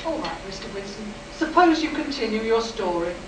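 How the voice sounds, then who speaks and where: An actress playing a skeptical, clinical army psychiatrist in a live sci-fi radio play, questioning with authority. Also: female voice, fairly high pitch, lively intonation, normal speed, close to an everyday voice. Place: live performance in a room at a convention. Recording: roomy, distant, noisy live convention stage recording.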